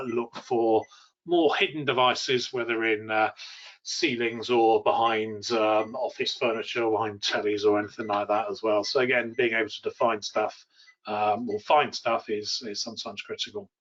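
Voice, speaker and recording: A man's voice: lowish voice, steady tone, moderate pace, fairly bright tone. The recording is a little dull and lo-fi. A man talks as a technical trainer giving a live product demo. His explanations are informal and loosely phrased, with a hesitant way of getting to each point.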